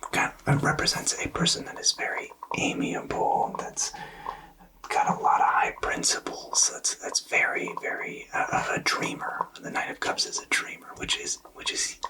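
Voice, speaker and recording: A man's voice: distant mic, poor audio. Whispering male tarot reader. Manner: soft, reassuring, explanatory, hesitant pauses. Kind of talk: whispered ASMR tarot reading.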